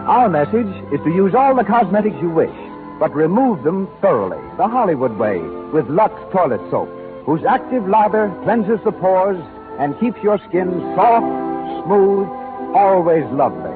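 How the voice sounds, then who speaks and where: A man's voice: sits high, sing-song, moderate pace. A man announcing and reading advertising on 1930s radio in a polished, emphatic manner. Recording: tinny 1930s radio, music.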